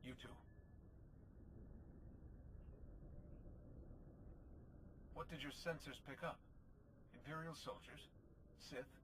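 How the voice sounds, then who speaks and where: A man playing a steady, confident Jedi commander in a video game, calm and concise. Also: a little high, moderate pace, lightly acted. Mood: resolute. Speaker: player hero, commander. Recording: game dialogue over clear background ambience noise.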